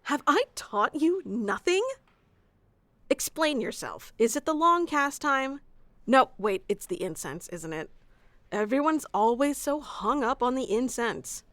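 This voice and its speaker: A woman's voice: high-pitched, expressive, measured pace, fairly bright tone, no echo. A woman playing a haughty, easily flustered tsundere young wizard mentor in an ASMR roleplay, bossy and teasing, stammering when flustered. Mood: exasperated, scolding. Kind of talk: ASMR roleplay acting addressed to the listener.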